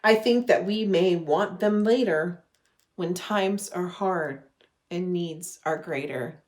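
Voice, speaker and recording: A woman in her thirties reading a children's storybook aloud with gentle, deliberate phrasing. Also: lowish voice. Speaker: religious education director reading a children's story. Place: living room at home.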